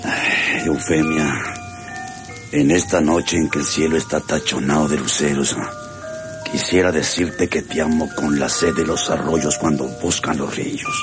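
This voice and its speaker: An actor playing a rich, spoiled, lovesick hacienda owner with a Mexican accent and florid manner in a radio drama. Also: male voice, extremely low pitch, steady tone, measured pace, over music. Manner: florid, blustering.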